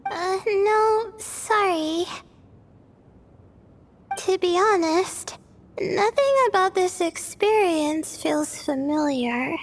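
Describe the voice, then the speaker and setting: A woman voicing a shy, dreamy young student of the stars in a game, soft-spoken and hesitant. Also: extremely high pitch, exaggerated voice.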